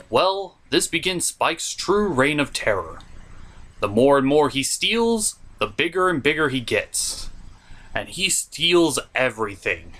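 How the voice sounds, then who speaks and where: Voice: mid-range voice, fairly bright tone. A host of a puppet review show narrating a scripted comic episode recap in a theatrical, mock-dramatic manner.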